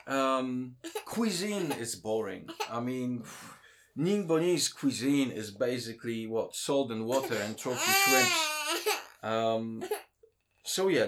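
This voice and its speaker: A man, a guest in a casual interview who talks with many pauses, ums and restarts. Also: mid-range voice, fairly bright tone. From Poland.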